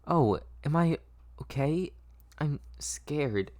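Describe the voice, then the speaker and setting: Man voicing a timid, frightened little girl, pitched up. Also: lively intonation, extremely slow, a little mellow. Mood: dazed, scared. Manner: hesitant, stammering.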